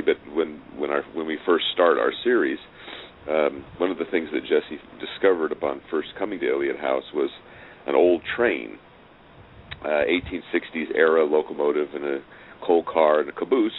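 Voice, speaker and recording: Man, extremely low voice, halting conversational explainer, repeats words, noisy phone-like recording. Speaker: interview guest, audio drama writer and producer. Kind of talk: conversational interview, explaining a project. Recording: noisy, tinny phone-like call line.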